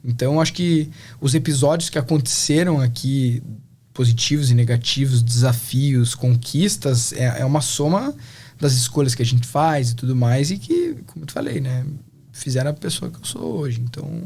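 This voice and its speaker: A man's voice: mid-range voice, lively intonation, moderate pace, gravelly, dark tone. A Brazilian man in his thirties, talking casually and reflectively as an interview guest. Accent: Brazilian Portuguese. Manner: reflective, chatty, many fillers.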